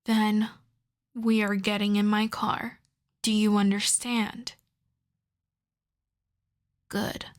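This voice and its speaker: A woman's voice: mid-range voice, flat delivery, slow, long pauses, close to an everyday voice. A woman playing a possessive, seductive female vampire in an ASMR roleplay, speaking intimately and coaxingly with a smug, commanding streak and slipping into whispers. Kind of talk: ASMR roleplay audio drama.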